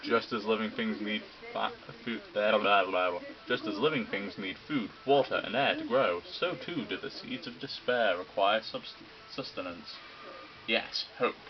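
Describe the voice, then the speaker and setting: A man voicing a gleeful, sinister male robot bear headmaster who lectures in a mocking, theatrical, drawn-out way. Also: on the low side, lo-fi sound, a crowd in the background, lightly acted. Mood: sinister lecturing. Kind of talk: video game dub reading.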